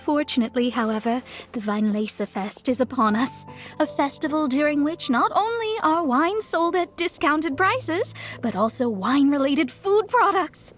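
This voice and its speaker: A woman's voice: sits high, lively intonation, moderate pace, soft background music. A woman voicing a proud, earnest young female astrologer in a video game, self-assured and a little prim as she explains herself. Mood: earnest, eager.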